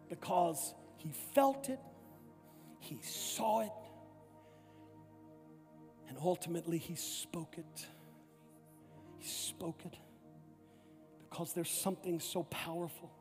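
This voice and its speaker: A man's voice: sits high, lively intonation, slow pace, long pauses, darkish tone. A man preaching a sermon as pastor, emphatic and repetitive, often restarting his phrases. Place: church sanctuary. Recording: echoey hall with faint music.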